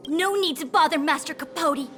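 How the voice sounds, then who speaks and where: Very squeaky devoted young fangirl. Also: normal speed, sharp tone, faint music behind. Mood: defensive, indignant. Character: gushing, fiercely loyal young female fan of a researcher. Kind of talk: video game voice acting.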